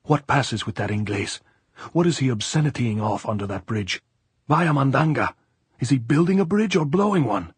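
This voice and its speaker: A man's voice: lowish voice, steady tone, normal speed, breathy edge, no echo. A man voicing a fierce, blunt middle-aged guerrilla woman who scolds and commands in old-fashioned phrasing in an audiobook. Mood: raging.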